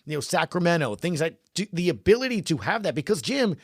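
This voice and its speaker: An animated man hosts a sports talk podcast with a slightly high voice that swings widely in pitch. He is emphatic and punchy, leaning on rhetorical questions to drive his points home. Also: fast, slightly rough, no room sound, studio quality.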